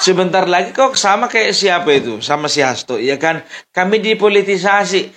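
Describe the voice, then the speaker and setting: A man's voice: high voice, expressive, moderate pace, fairly bright tone. A man from Sumatra, hosting a casual political commentary monologue, laughing often as he mocks and speaks straight to his viewers. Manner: mocking, laughing often, addresses viewers directly. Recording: noisy background.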